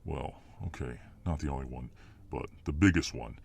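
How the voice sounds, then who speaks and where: A very low-pitched muscleman fireman voiced by a male actor for an ASMR audio drama. He is dominant and boastful, with a cocky swagger. He is protective of his lover and quick to bristle. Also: vocal fry, a little mellow, breathy edge, full character voice. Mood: calming down, apologetic. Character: dominant muscular alpha fireman boyfriend, boastful, protective, hot-tempered.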